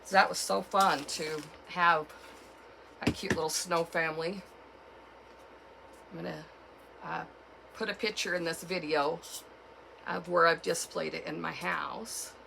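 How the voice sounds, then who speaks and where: A woman with a cold and a froggy, hoarse voice, chatting casually as she walks through a craft tutorial at home. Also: fairly low pitch, fairly even intonation, noisy background. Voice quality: froggy, gravelly. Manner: chatty, unhurried, with fillers and self-corrections. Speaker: craft channel host.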